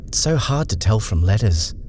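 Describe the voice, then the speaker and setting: A man's voice: lowish voice, very animated, normal speed, darkish tone, a little hiss or hum, voice pushed up. A man voicing a polite, hesitant young woman who is nervous. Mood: anxious.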